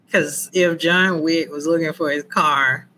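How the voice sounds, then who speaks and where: Woman cohost on a movie podcast, bright voice, talks slow, jokey and laid back. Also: deep voice, a little hiss or hum. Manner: relaxed and unhurried, chatty with joking asides. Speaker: movie review podcast co-host. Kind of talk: casual movie review chat.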